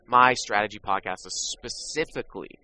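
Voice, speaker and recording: A man's voice: on the low side, normal speed, pushed and bright, poor audio. A man who coaches poker and hosts a podcast, casually promoting his training products. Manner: plugging his products, confident.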